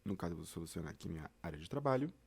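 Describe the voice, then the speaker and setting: Male tutorial presenter, Brazilian accent, soft-edged mellow timbre, casual and conversational step-by-step delivery. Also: low-pitched, very animated, very fast, breathy edge. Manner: conversational, addresses listeners directly with frequent check-ins.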